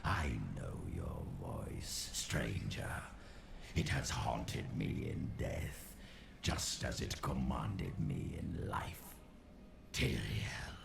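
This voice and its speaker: A man playing a sinister, mocking undead sorcerer in a fantasy game, with a gravelly, whispery voice and slow menace. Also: extremely low pitch, lively intonation, background noise, exaggerated voice. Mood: sinister musing. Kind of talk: dramatic fantasy game acting.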